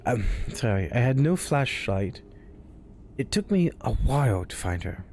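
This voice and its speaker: Man, spooky storyteller, hushed, whispering, slow-paced. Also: mid-range voice, big pitch swings, a little hiss or hum. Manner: hushed, often dropping to a whisper. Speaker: storyteller host. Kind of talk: reading spooky stories aloud.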